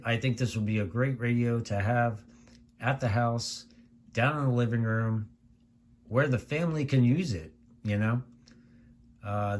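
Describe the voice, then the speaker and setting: A low-pitched American man talks through a casual product review with steady noise behind him. He is conversational and unscripted, wandering a bit and circling back over his points. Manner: conversational, rambling, repeats himself. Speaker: radio reviewer. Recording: clear steady background noise.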